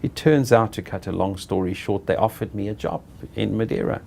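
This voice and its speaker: A middle-aged South African man being interviewed, telling his own story in an unhurried, halting way and often correcting himself. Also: fairly low pitch, vocal fry, darkish tone, slight background noise. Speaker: interviewee, property director. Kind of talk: reflective personal storytelling.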